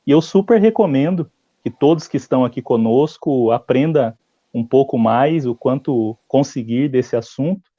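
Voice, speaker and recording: A Brazilian man with a Brazilian accent hosting a live interview, courteous and formal, filling pauses with "né". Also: mid-range voice, warm tone, no room sound. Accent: Brazilian Portuguese. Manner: courteous, formal phrasing with frequent "né". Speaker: host. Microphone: video call. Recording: slightly muffled video-call audio.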